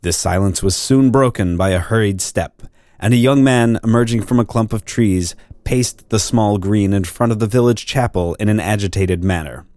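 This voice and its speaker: A man's voice: lowish voice, normal speed, darkish tone, no room sound, clean studio sound. A man giving a formal, dramatic scripted narration in a storytelling manner. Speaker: actor reading as narrator. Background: music.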